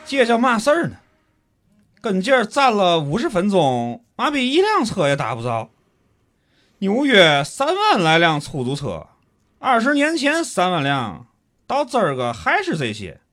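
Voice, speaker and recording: A man's voice: sits high, huge pitch swings, unhurried, a bit bright, exaggerated voice. A man with a Tianjin accent acting a grumbling, pompous city schemer in a comic audio drama, theatrical and colloquial. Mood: grumbling, irritated.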